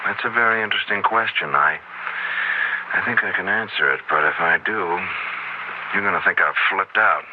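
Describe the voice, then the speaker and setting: Male actor for earnest, determined academic; very low voice, hesitant explanations, muffled vintage radio sound. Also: moderate pace, pauses often, lightly acted. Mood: grave, explanatory. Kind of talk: 1970s radio drama acting. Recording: dull, lightly noisy 1970s radio recording.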